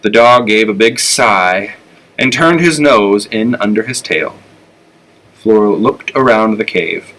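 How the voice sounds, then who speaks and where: Male storybook reader, American accent, bright and clear-edged tone, telling a children's tale. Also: lowish voice, takes it slowly, poor audio. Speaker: elementary school teacher reading aloud.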